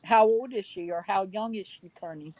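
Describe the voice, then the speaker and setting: A woman in a casual group chat, playful and quick to laugh. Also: mid-range voice, dark tone, no room sound, low-quality recording. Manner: playful, giggly.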